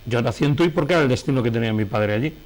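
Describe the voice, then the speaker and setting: A middle-aged man from Galicia speaks with a Spanish accent as a guest in a conversational interview. He tells anecdotes at length, wandering off and correcting himself as he goes. His voice sits at an ordinary male pitch. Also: racing, a little mellow. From Galicia, Spain. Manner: digressive, self-correcting. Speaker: interview guest, painter and novelist.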